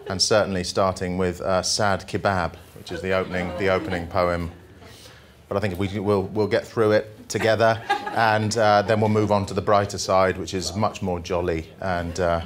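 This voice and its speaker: A middle-aged man with a fairly low voice speaks into a handheld mic in a presentation room. He delivers verse and asides to his listeners with deliberate, mock-solemn phrasing. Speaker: journalist reading poems at a workshop. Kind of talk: live poetry reading with casual asides to an audience. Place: indoor presentation room with projection screen. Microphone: handheld microphone.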